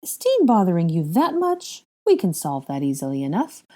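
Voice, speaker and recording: Villainess witch for an audiobook, played by a female reader: cruel, taunting, contemptuous, with a dark mellow timbre. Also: lowish voice, huge pitch swings. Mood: mocking, gloating. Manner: taunting, sneering, drawn-out contemptuous delivery.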